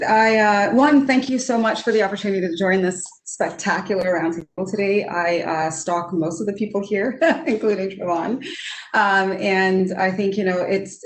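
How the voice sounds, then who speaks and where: A woman's voice: medium pitch, slight background noise. A Trinidadian woman in her forties, an economist speaking earnestly on a video roundtable, with many fillers and restarts. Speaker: economist panelist. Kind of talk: roundtable discussion. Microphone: video call. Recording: roomy video call audio.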